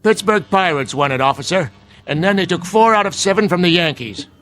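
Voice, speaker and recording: An actor playing a mischievous, cackling old American grandpa in a radio drama, folksy and sardonic. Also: male voice, high voice, animated, a little fast, a bit bright. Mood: mocking. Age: older. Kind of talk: vintage-style radio drama acting.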